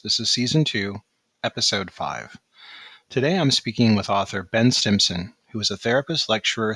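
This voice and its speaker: Podcast host guy, lowish voice, reads his intro pretty measured, dry sound. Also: pushed and bright, slightly rough, no room sound, poor audio.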